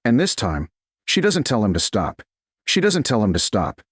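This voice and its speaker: Husky man narrating romance with dramatic intonation. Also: fairly low pitch, huge pitch swings, normal speed, no room sound, clean studio sound. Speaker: audiobook narrator.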